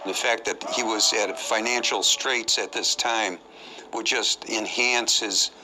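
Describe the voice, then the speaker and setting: A man's voice: lowish voice, fairly even intonation, unhurried, very noisy. A man giving analytical commentary on criminal psychology in a documentary interview.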